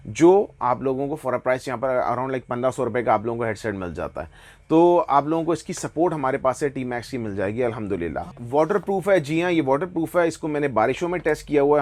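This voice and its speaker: A man with a Pakistani accent gives a casual product review and sales pitch, explaining enthusiastically and often slipping into English phrases. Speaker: online motorbike gear seller presenting products.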